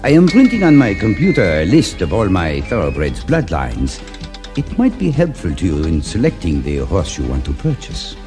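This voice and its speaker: A man's voice: low voice, wildly swooping pitch, normal speed, a little mellow. An actor playing a suave, menacing industrialist villain in his late thirties, formal and falsely polite, in an audio storybook. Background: background music.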